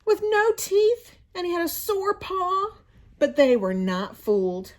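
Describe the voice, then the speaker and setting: A woman reading a storybook aloud to children in an expressive, teacherly way, pausing to explain and ask questions. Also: very high voice. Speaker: school media coordinator reading to children.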